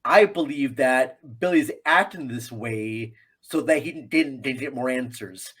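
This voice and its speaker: A man's voice: medium pitch, unhurried, fairly bright tone, husky. A man talking casually and in a rambling, conversational way as a guest on a film podcast.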